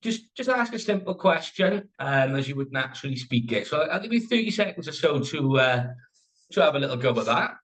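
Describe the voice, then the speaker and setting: A man's voice: on the high side, big pitch swings, fairly quick, fairly bright tone, rough recording. A Welsh man co-presenting a live online workshop, upbeat as he quotes figures and invites listeners to join in.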